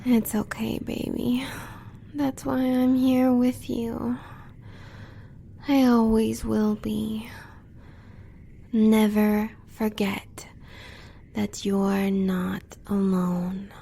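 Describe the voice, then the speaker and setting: An American woman playing a devoted, coolly bloodthirsty huntress in a romantic audio roleplay, breathy and often whispering. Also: a little high, little pitch movement, dark tone, a little hiss or hum, full character voice. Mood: soothing, devoted. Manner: intimate, measured.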